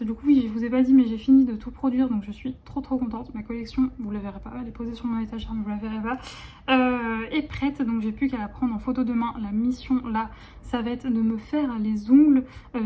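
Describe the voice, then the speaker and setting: Woman, late 20s, casual vlogger; rambling, filler-heavy talk, warm dark tone, phone recording. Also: on the high side, steady tone, fairly quick. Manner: chatty, rambling, many fillers and restarts. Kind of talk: casual vlog chat.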